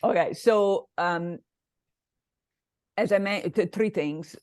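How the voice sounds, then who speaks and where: Lowish-voiced woman with an Italian accent. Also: takes it slowly, darkish tone, clear, resonant tone, dry recording, clean studio sound. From Italy. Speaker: interview guest, business mastermind coach. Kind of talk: conversational interview.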